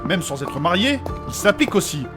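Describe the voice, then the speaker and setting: A man's voice: high-pitched, big pitch swings, a little fast, over music. A man playing a proper, pious and learned adventurer in a comedic fantasy audio drama, formal, polite and easily shocked. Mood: moralising.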